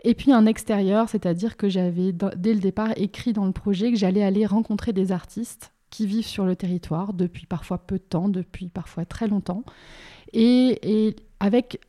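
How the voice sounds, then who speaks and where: A woman's voice: mid-range voice, fairly even intonation, normal speed, mellow. A woman from Bordeaux in France speaking in an informal interview, explaining her work hesitantly and repeating words as she searches for the next thought. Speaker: interviewed independent curator and art school teacher.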